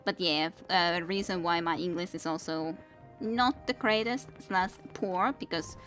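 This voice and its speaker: A woman's voice: fairly low pitch, unhurried, fairly bright tone, soft background music. Rambling Finnish-accented woman streamer. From Finland. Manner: rambling, reads chat aloud, many restarts. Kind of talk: casual gameplay stream chat.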